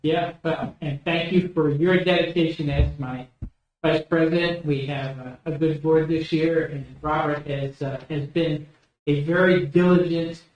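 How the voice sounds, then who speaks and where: A man with a slightly raised pitch thanks the board and praises a colleague, pausing on "uh" as he goes. His voice echoes heavily through a meeting room. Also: fairly even intonation, darkish tone, muffled recording, a little hiss or hum. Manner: gracious, with halting "uh" fillers. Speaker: county official addressing the board. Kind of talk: formal meeting remarks. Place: county commissioners' meeting room.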